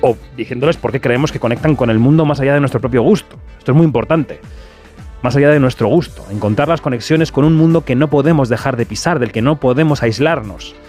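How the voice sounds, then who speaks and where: A man's voice: mid-range voice, fast, a little mellow, soft background music. A man hosting a radio film show, speaking in an emphatic, opinionated way. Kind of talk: radio show monologue and interview.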